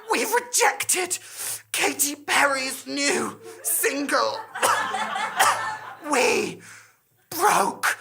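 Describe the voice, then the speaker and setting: A woman voicing a wounded, regretful dying man who splutters and chokes out halting, melodramatic phrases in a staged story. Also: sits high, big pitch swings, creaky, pushed and bright, voice pushed up, exaggerated voice. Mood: agonized regret. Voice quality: strained, spluttering, coughing.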